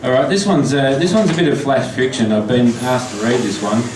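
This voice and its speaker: An Australian man, a poet, reading his poems and flash fiction aloud at a live event, chatty and halting between pieces, slow and deliberate when reading. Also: medium pitch. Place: pub function room. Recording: echoey pub from far off with noise.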